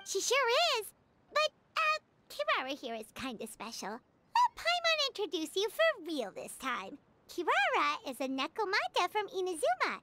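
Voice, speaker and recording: A woman voicing a tiny, excitable, childlike floating companion in a video game, chirpy in manner. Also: extremely high pitch, moderate pace, heavily acted. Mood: chipper. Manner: chirpy, refers to self in third person. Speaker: game character voice. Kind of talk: animated game voice acting.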